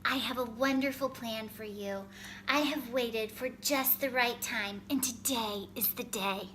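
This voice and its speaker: A woman voicing a kind, patient male farmer in a storybook, gentle, measured and soothing. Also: a little high, a little hiss or hum. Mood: gentle, assured.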